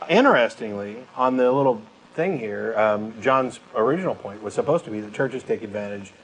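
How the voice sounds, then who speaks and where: A man's voice: lowish voice, lively intonation, fairly quick, poor audio. A man hosting an unscripted call-in show, speaking in a blunt, emphatic manner. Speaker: talk show host. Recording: faint background noise.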